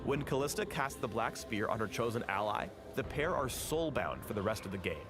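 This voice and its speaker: A man's voice: mid-range voice, lively intonation, brisk, noisy background. A man narrating a scripted video game character spotlight as an explainer. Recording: loud music behind narration.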